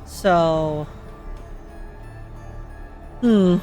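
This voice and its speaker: A young woman giving casual, excitable game reaction commentary, giggly and thinking aloud as she goes. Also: fairly low pitch, very slow. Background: faint game music.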